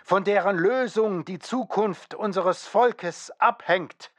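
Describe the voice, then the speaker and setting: A man's voice: high voice, takes it slowly, no echo. A man reading an old press article aloud in a formal, scripted manner for radio drama. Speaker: reader of an old trade-paper article. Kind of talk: scripted declarative reading of 1910s press text.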